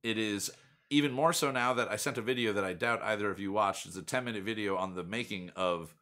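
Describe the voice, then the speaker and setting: A young man chats loosely as the host of a podcast. His voice sits on the low side but comes through bright and forceful. He rambles along with plenty of fillers. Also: fast. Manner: rambling, chatty, many fillers. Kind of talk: casual chat.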